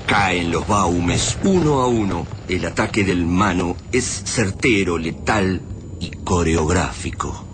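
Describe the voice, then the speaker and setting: An actor narrating an apocalyptic radio drama gravely and suspensefully. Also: male voice, low voice, expressive, takes it slowly. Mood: grave, tense. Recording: clearly noisy, sound-effect ambience.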